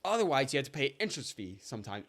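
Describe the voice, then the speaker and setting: A young man co-hosting a podcast, telling stories in a casual, animated way that jumps up high when he gets excited. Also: quick pace. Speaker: podcast co-host. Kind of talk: casual storytelling chat.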